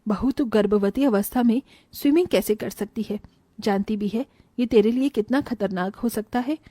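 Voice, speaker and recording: Bossy older mother-in-law, mellow tone, acted by a woman. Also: on the high side, normal speed, dry recording, natural voice. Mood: alarmed. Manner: commanding, fussy. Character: bossy, biased older mother-in-law, doting on her favourite. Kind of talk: Hindi animated moral tale voice acting.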